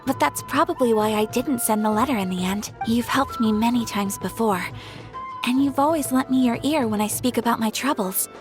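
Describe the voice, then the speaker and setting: A young woman playing a gracious, modest noblewoman in a video game, with polite, formal and measured delivery. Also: fairly high pitch, lightly acted. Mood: modest, confiding. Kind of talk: animated game voice acting. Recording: music playing behind the voice.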